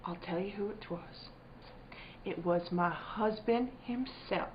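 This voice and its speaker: An actress playing a guarded, middle-aged rural farm wife in a radio play, with a curt, defiant rustic dialect. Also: female voice, medium pitch, slow, close to an everyday voice. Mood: tense. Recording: dull sound, faint background noise.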